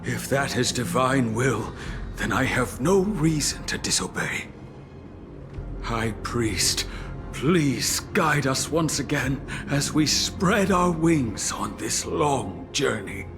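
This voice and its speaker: Devout priest, slow n solemn, really swoops around, game dialogue. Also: male voice, medium pitch, expressive. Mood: solemn, resolute. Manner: solemn, formal, dramatic. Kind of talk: video game voice acting. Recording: loud game music underneath.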